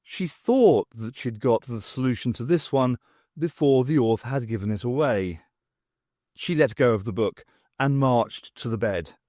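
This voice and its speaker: A man reading an audiobook as narrator in a steady storytelling manner. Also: medium pitch, a little slow, no echo, clean studio sound. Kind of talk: scripted narration.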